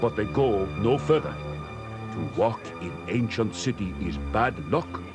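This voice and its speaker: Hearty cartoon desert guide: put-on foreign accent, unhurried, animated, raised pitch, storybook tape with music. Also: male voice. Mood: reassuring. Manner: broken English, hearty, reassuring. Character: jolly, hearty big bearded desert guide. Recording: music behind, 1980s cassette storybook.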